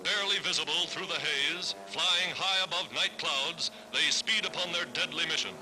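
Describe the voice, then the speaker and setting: A man narrates in the high-pitched voice of a 1940s wartime newsreel. His delivery is formal, scripted and declamatory, with dramatic weight. The old soundtrack is clearly noisy. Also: normal speed.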